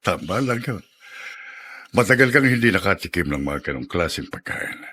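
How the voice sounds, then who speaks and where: A man's voice: low voice, sing-song, moderate pace, bright, forceful tone, slightly rough, full character voice. A male actor playing an assured, generous congressman in a Filipino radio drama, emphatic and theatrical, with a Filipino accent. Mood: reassuring.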